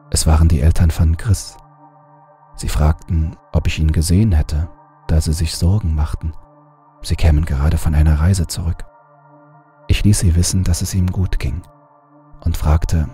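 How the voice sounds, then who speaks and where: A man reading a horror story aloud in a calm, measured first-person narration. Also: rumbling low.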